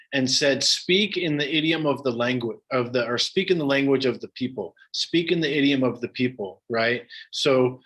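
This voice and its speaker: A man's voice: mid-range voice, poor audio. Laid-back male meditation teacher. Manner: relaxed, casual, conversational, many fillers. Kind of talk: dharma talk with audience questions.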